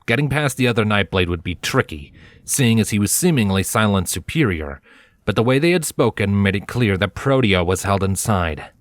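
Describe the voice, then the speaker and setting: A man with a low voice narrates fiction from a script. He reads as a storyteller, and the recording is dry, with very little room sound. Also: expressive, moderate pace, no room sound.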